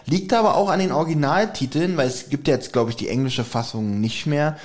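Ein Mann, der als Co-Host locker und spöttisch in einem Podcast plaudert und dabei oft lacht. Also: male voice, on the high side, fairly quick. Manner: laughs often, wry and teasing. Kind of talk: casual podcast chat.

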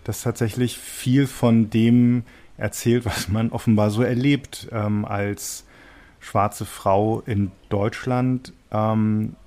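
A man's voice: fairly low pitch, level tone, slow pace, a little mellow. A man interviewing a publisher, summarizing books and asking questions while searching for words.

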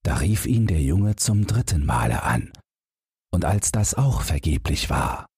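Deep, husky male fairy-tale narrator. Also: sing-song, a little slow, a little mellow, no echo, clean studio sound. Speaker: audiobook reader. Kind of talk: scripted fairy-tale narration, bedtime listening.

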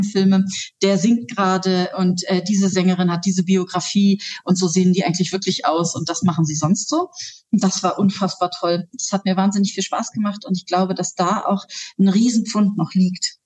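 A woman with a slightly husky voice answers interview questions with bubbling enthusiasm. She talks quickly and drops plenty of fillers as she goes. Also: medium pitch, darkish tone. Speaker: head of a theater's digital department. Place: works in Augsburg.

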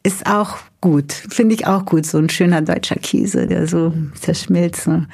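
A woman's voice: on the low side, unhurried, creaky, breathy edge. A woman from Brazil with a Brazilian Portuguese accent, a guest chef talking casually about food in a podcast interview, chatty with many fillers. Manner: lively, chatty, frequent fillers.

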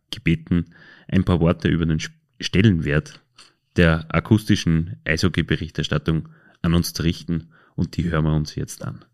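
A man with an Austrian accent and a low-pitched voice talks as a podcast host. He speaks casually and colloquially, feeling for his words and often correcting himself as he goes. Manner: hesitant, self-correcting, colloquial. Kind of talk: casual podcast chat, telling anecdotes.

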